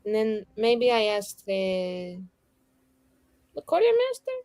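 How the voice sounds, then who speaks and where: A woman playing a tabletop roleplaying game, chatting casually and describing her character's actions in a playful, expressive way. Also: medium pitch, slow pace, dry recording. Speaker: player.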